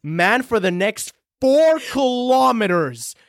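A man's voice: very high-pitched, big pitch swings, slow, dry recording. Rambling, foul-mouthed young man, dry sound. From Ukraine. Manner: rambling, crude and profane. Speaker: podcast co-host. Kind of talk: casual chat.

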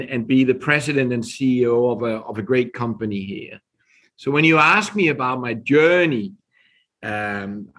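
A middle-aged man with a Danish accent talks through a podcast interview in an ordinary-pitched voice. He speaks in a measured way, often restarting and repeating words as he goes. He sounds like a business guest telling his story. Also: a bit bright. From Denmark. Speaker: guest, company executive.

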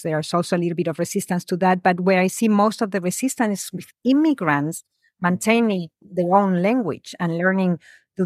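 A woman from Spain, a researcher answering interview questions in a measured, thoughtful way, pausing often. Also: fairly low pitch, no echo, clean studio sound. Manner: measured, thoughtful, frequent "um" pauses. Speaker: guest, education researcher.

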